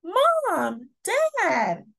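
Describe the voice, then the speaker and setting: A woman's voice: very high-pitched, wildly swooping pitch, drawn-out words, creaky, a bit bright, voice pushed up, heavily acted. A woman voicing a small, earnest schoolboy in a children's picture book, exclaiming in an emphatic, childlike way. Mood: proud, excited.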